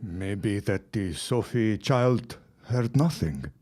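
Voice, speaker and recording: A deferential accomplice who speaks in short, halting phrases. His voice is low and subdued. It is a small supporting part in an audio drama. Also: lively intonation, a little mellow. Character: hesitant immigrant accomplice, deferential. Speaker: Otto Zabansky. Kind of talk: radio drama acting.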